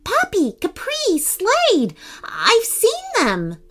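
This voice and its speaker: A woman voicing excitable, easily shocked young fairies in a children's bedtime story, full of exclamation. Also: very high-pitched, extremely slow, voice pushed up, full character voice. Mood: urgent.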